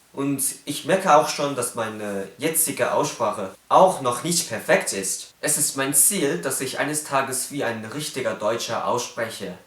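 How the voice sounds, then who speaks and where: A man's voice: medium pitch, fairly bright tone, echoey room. A young man whose first language is Cantonese, speaking with a Cantonese accent as he explains phonetics in a casual vlog and carefully demonstrates sounds.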